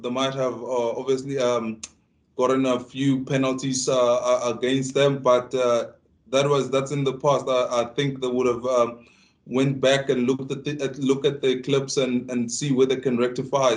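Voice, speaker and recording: A South African man whose first language is Tsonga, a rugby player answering press conference questions in a halting way with many restarts and repeated words. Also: medium pitch.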